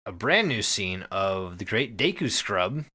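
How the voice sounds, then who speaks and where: A man with a bright, forceful voice retells a story as a podcast host. His delivery is casual and full of swearing, with pitch swinging widely as he goes. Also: measured pace, slightly rough. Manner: profane, animated retelling. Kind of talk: casual storytelling recap with co-hosts.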